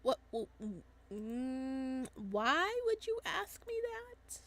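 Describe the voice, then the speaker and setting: Woman as an earnest young man, high-pitched. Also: big pitch swings, takes it slowly, fairly bright tone, exaggerated voice. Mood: flustered. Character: timid, earnest, lovestruck young man of twenty-one. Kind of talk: visual novel dialogue reading.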